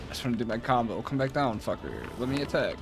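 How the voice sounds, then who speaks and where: A man's voice: medium pitch, big pitch swings, fast talker. A man in his thirties chatting casually as he streams a game, informal and slangy with free swearing. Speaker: streamer playing an online raid game. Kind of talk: casual gaming chat and commentary. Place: small room at home. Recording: game music behind the voice.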